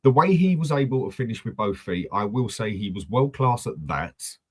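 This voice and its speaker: A man's voice: medium pitch, lively intonation, moderate pace, husky. A man hosting a casual football fan chat, blunt and swearing freely. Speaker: host of a football fan channel.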